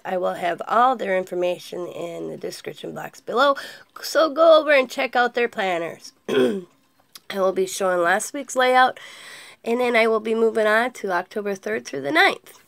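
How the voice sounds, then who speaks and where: A hoarse woman with a cold, chatting casually as she walks through her planner pages item by item. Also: medium pitch, normal speed, no room sound. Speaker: planner vlogger. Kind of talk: casual show-and-tell narration. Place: indoors at a craft desk. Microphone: camera pointed down at the desk, speaker off-screen.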